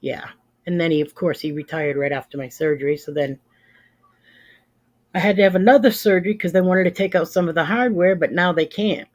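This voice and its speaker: A woman's voice: on the low side, brisk. Woman in her 50s just chatting to viewers on a livestream, blunt plain talk, says stuff twice to drive it home. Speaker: livestream host. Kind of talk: casual chat with live viewers.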